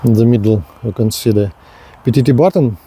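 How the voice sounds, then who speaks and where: A man giving a hands-on product demonstration in halting short phrases, with non-native English phrasing. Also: mid-range voice, dark tone, breathy edge. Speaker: radio reviewer.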